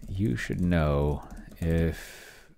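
A middle-aged man with a very deep voice and a lot of vocal fry talks casually through what he is doing on a computer. He thinks out loud, often muttering and trailing off, as someone hosting alone from a home desk. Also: extremely slow, slight background noise. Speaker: host. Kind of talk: casual thinking-aloud screen-share commentary. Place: indoor home room at a desk.

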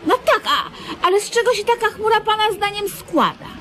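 High-pitched, fussy, doting woman. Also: lively intonation, brisk. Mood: earnest, lecturing. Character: fussy, doting, patiently lecturing woman companion. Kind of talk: comedic radio play acting. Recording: slightly noisy old radio recording.

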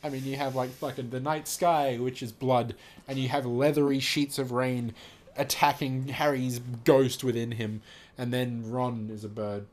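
A man's voice: mid-range voice, flat delivery, moderate pace, a little mellow. A man reading a story aloud casually with amused, incredulous commentary, often laughing and swearing as he goes. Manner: giggly, laughs through his reading.

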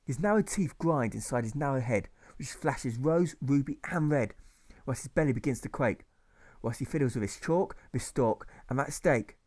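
A man reading his own rhyming novel aloud, in a measured, rhythmic narration. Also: medium pitch, lively intonation, darkish tone.

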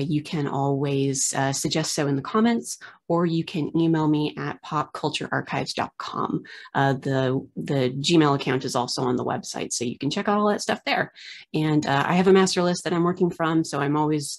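A woman in her thirties hosting a casual video-call interview from home, chatty with lots of filler uhs and self-deprecating jokes. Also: sits low. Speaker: host. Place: home room with framed art on the wall.

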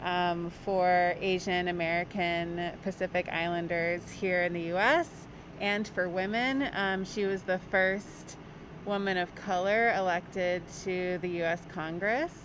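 A woman's voice: on the low side, steady tone, a little slow. A woman in her thirties from Hawaii, an artist being interviewed on the street, talking thoughtfully with frequent ums. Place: outdoors by a brick wall on a city street. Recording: dull, noisy street audio.

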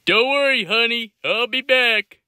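A man's voice: extremely high pitch, measured pace, sharp tone, clear, resonant tone, no room sound, clean studio sound, heavily acted. A man voicing a laid-back, beer-loving middle-aged cartoon dad in a casual, offhand way. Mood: reassuring. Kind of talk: fan-made cartoon voice acting.

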